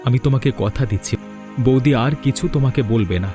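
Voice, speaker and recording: A man's voice: mid-range voice, quick pace, mellow, soft background music, close to an everyday voice. A man playing an earnest, devoted young husband in a cartoon, talking in a coaxing, sincere way. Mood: reassuring. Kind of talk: Bengali cartoon voice acting.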